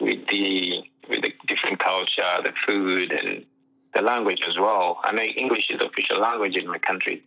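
A young man from Uganda, a pro soccer player telling his story in a relaxed interview, full of "you know" fillers, heard over what sounds like a phone line. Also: deep voice, normal speed, gravelly. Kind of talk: casual interview chat.

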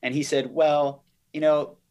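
A man's voice: on the high side, little pitch movement, unhurried, darkish tone, smooth, muffled recording. A man giving conversational interview answers as a business guest, explaining and recounting in an articulate way. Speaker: podcast guest, company chief executive.

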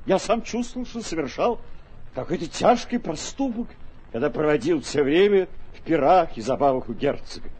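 An actor playing an idealistic, noble elderly knight with exalted, declamatory delivery in a classic radio drama. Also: male voice, on the high side, darkish tone, husky, exaggerated voice. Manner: exalted, declamatory, repeats words with feeling.

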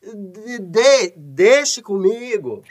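A man's voice: high voice, big pitch swings, very slow, full character voice. A man playing an indignant, blustering son with a heavy stutter in a comic radio soap opera. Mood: hesitant, boastful.

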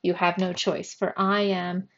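A woman voicing Death as a solemn, grandiose fiend in a storybook, speaking slowly and pompously in archaic phrases. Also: on the low side, level tone, lo-fi sound. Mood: ominous.

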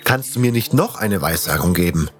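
Ein Mann liest als Ich-Erzähler eine Fantasy-Geschichte nach Skript. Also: male voice, deep voice, expressive, a little fast, music in the background. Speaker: first-person narrator and protagonist. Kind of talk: scripted fantasy audiobook narration.

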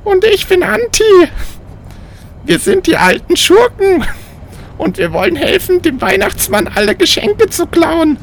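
A man's voice: extremely high pitch, sing-song, measured pace, creaky, slight background noise, voice pushed up, full character voice. A man voicing a villainous, gift-stealing evil twin in a comic audio play, with an affected, declaiming manner. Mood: gleefully villainous. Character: shrill villainous mirror-universe double, gift-stealing scoundrel.

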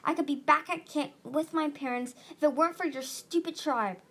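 A woman's voice: sits high, normal speed, a bit bright, voice pushed up. Woman voicing a spiteful young she-dragon, hissing. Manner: hissing, bitter. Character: spiteful young female dragon, venomous and resentful. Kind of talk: audiobook-style character reading.

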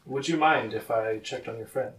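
A man's voice: mid-range voice, moderate pace, echoey room, recorded from a distance, over music, close to an everyday voice. A man voicing a mysterious stranger in a tabletop game, speaking politely and formally with a wry edge. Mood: courteous.

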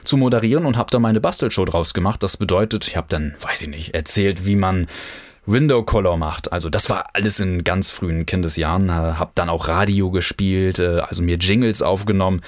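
A man's voice: low voice, brisk, no echo, studio quality. A young man from northern Germany hosting his own podcast, talking loosely with lots of fillers and restarts. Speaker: podcast host. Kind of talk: casual podcast monologue.

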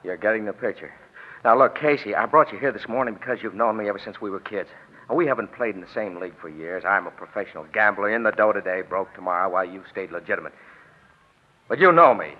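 A man playing a worried professional gambler in a 1940s radio crime drama. His husky voice moves quickly, urgent and hesitant as he pleads his case. The recording is old and muffled. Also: fairly low pitch, close to an everyday voice. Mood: pleading, afraid.

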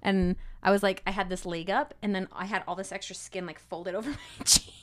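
A woman's voice: medium pitch, steady tone, fairly quick, heavy background noise. A woman in her early thirties co-hosting a podcast in a casual, candid and self-deprecating chat. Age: early 30s. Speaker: podcast co-host.

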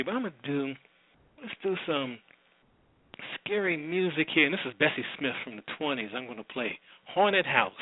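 A man's voice: mid-range voice, no room sound. A man casually hosting a radio show in a loose, informal, rambling way. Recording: thin phone-like sound, dry.

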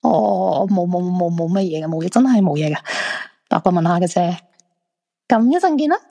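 An actress plays a probing, chatty woman in a Cantonese radio drama. Her Hong Kong voice is soft-toned and mellow, trailing long reverb. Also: lowish voice, expressive, moderate pace, creaky. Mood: probing, awkward.

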